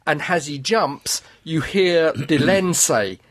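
A man's voice: fairly high pitch, lively intonation, slow, fairly bright tone. A man in a casual fan discussion as a podcast host, often repeating and restarting his phrases.

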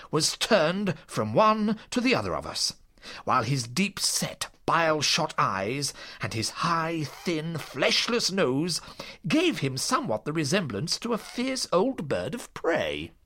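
Earnest narrator-companion, played by a man in detective audio drama. Expressive storytelling, sharp tone, dramatic swoops. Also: fairly high pitch, full character voice.

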